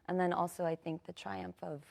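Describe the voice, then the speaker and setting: A woman's voice: lowish voice, level tone, a little fast, gravelly, darkish tone. A woman guest on a TV morning show, speaking earnestly with fillers about a ballet. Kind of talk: TV interview.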